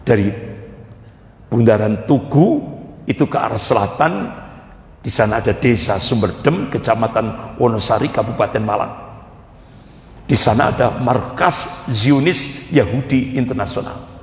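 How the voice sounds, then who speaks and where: Slow, echoing male preacher. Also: mid-range voice. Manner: emphatic, with rhetorical questions and repeated phrases. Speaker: Islamic preacher. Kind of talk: religious lecture to a congregation. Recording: echoey hall with long reverb.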